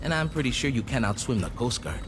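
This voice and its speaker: A man's voice: medium pitch, big pitch swings, fast, close to an everyday voice. A man playing a young, streetwise, helpful gang sidekick in a video game cutscene. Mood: cautioning, confident. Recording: clear outdoor boat and vehicle noise.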